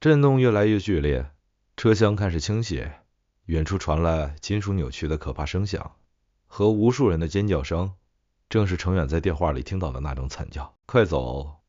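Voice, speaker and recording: Very low male voice, scripted horror narration, echoing, slightly muffled recording. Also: lively intonation, normal speed, dark tone. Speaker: audiobook narrator.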